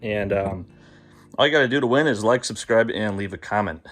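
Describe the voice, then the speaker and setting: A man's voice: on the low side, brisk, fairly bright tone. A man hosting a vlog, chatting casually to camera in a slangy, self-deprecating, sweary way. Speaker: vlog host. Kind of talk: casual chat to camera about car and bike builds.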